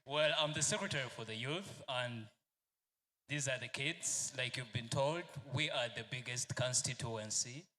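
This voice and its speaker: A man, serving as youth secretary and giving a deliberate, rousing rally speech. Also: mid-range voice, bright, forceful tone.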